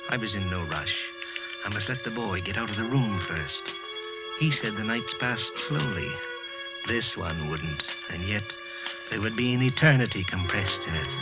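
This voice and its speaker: A man's voice: on the low side, close to an everyday voice. Muffled old-radio actor as a brooding male killer. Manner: deliberate, brooding, intense. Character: guilty office worker confessing murder, coldly deliberate yet nervous. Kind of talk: old-time radio thriller acting, first-person narration. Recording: muffled vintage radio recording with music.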